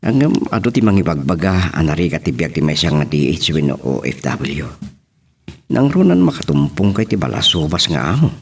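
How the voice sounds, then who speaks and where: A man's voice: extremely low pitch, sing-song, a little fast, vocal fry, a little hiss or hum, full character voice. A man playing a reluctant, anxious farmer, husband and father in an audio drama. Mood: doubtful. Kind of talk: amateur radio-style drama acting.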